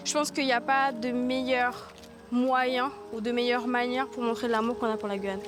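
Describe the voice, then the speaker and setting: A woman's voice: a little high, fairly even intonation, normal speed. A woman from Guiana, answering an interview earnestly and repeating words for emphasis. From French Guiana. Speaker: village activist interviewee. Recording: music behind the voice. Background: background music.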